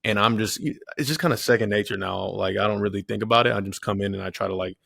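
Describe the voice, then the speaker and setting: Gravelly playful man in his late twenties. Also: on the low side, quick pace, a bit bright, no echo, studio quality. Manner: playful, teasing. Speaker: podcast co-host, football player. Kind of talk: casual chat.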